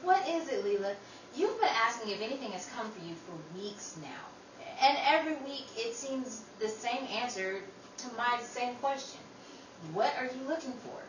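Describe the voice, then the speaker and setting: Woman acting high, lively big sister, echoey. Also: normal speed, close to an everyday voice. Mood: concerned, patient. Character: caring, exasperated protective older sister. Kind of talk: old time radio drama acting. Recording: distant, echoey room with faint noise.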